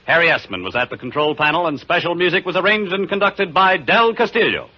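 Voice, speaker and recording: A male radio announcer reading the closing credits of a vintage radio drama in a crisp, formal style. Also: on the high side, lively intonation, fast, heavy background noise. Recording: lo-fi old radio broadcast with music.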